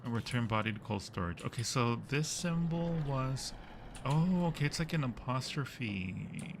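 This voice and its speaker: A man's voice: medium pitch, animated, a little slow, gravelly, a little hiss or hum. A man casually commentating a horror game as a live streamer, joking and muttering to himself in a playful way.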